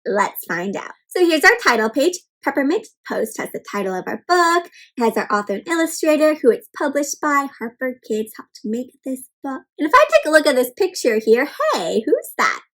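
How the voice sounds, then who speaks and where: A woman's voice: high voice, big pitch swings, moderate pace, hardly any pauses, fairly bright tone. A woman hosting an interactive storybook read-aloud for kids, asking questions and explaining words simply. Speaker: children's read-aloud host, teacher.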